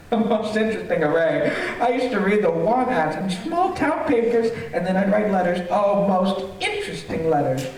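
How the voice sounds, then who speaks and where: A man's voice: high-pitched, very animated, normal speed, a little mellow. A man playing a gleeful, sinister killer in a live radio horror play, savoring his words theatrically with chuckles. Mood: gloating. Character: gleeful, sinister male killer who lures housekeepers. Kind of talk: live stage performance of an old-time radio horror play. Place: theater stage, live stream. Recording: very echoey theater, distant microphone.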